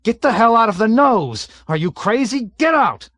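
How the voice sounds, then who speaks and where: A man voicing a panicked young male bombardier who shrieks and yells frantically. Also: medium pitch, lively intonation, slow pace, no room sound. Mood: hysterical.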